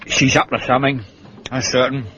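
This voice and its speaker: An actor playing a blunt, sardonic Cockney manservant in a British radio drama, talking in a colloquial, gossipy, grumbling way. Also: male voice, medium pitch, flat delivery, fairly quick, background noise, lightly acted. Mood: suspicious, gossipy. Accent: Cockney London. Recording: muffled, dull radio drama recording.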